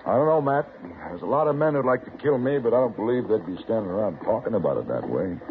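An actor playing a weathered frontier sheriff with plain homespun speech in a 1950s radio drama. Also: male voice, on the low side, animated, fast talker, close to an everyday voice. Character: weathered frontier sheriff, middle-aged man. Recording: tinny old radio broadcast with hiss.

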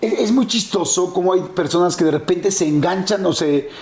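A man's voice: high voice, normal speed, darkish tone. A Mexican man hosting a casual interview, addressing his guest in a familiar, chummy way. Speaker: interview host. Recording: roomy echo.

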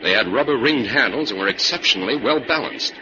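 An actor playing a calm, assured crime-fighting scientist in an old-time radio drama. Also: male voice, medium pitch, fast, close to an everyday voice. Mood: calm, explanatory. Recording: muffled, noisy old radio recording.

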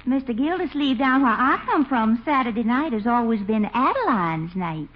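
A woman's voice: on the high side, expressive, moderate pace, close to an everyday voice. A woman playing a sugary belle. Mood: coquettish. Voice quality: sugary, coaxing. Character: coquettish Southern belle, sweetly wheedling. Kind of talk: 1940s radio acting. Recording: dull old radio broadcast, faint hiss.

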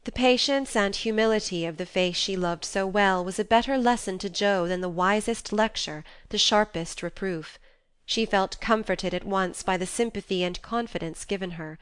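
A woman's voice: mid-range voice, moderate pace, clear, resonant tone, no echo. A woman reading scripted narration from a classic novel as an audiobook narrator.

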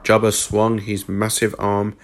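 A man's voice: lowish voice, monotone, measured pace, a little hiss or hum. A man reading scripted narration for a space fantasy story, as its narrator. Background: music under the voice.

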